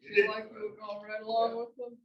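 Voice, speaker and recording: A woman's voice: fairly high pitch, flat delivery, fast, far from the mic. A woman speaking informally to an audience as the playwright and presenter. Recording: echoey, dull room recording from afar.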